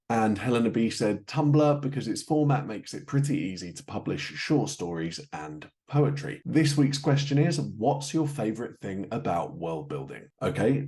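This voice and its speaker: A man's voice: fairly low pitch, darkish tone. A man hosting a podcast in an informal, self-deprecating way. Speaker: podcast host.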